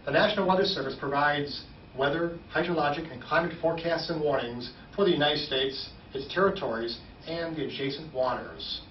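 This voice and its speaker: A man in his forties giving a measured, formal, scripted informational presentation as a weather service spokesman. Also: on the high side. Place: small indoor operations room, standing at a podium. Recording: roomy, slightly dull, distant, faint noise.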